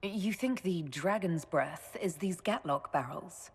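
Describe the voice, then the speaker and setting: An actress playing a calm, direct, authoritative heroine leader in a video game. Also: female voice, fairly low pitch, slow pace, husky, exaggerated voice. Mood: calm, resolute. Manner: direct, controlled.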